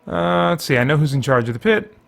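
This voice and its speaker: A man with a fairly high-pitched voice hosts a casual gameplay show. He talks conversationally, musing and thinking aloud as he goes. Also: animated, fairly quick, creaky, faint music behind. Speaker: gamer, host of a faith and geek culture show.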